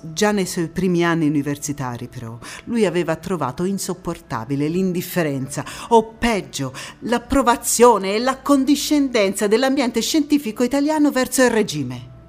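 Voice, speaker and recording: An actress playing a passionate young Italian antifascist woman, recounting her life in a theatrical monologue with dramatic pauses and emphatic repetitions. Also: mid-range voice, animated, darkish tone, lightly acted. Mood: fervent, proud. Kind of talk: theatrical stage monologue, first-person storytelling.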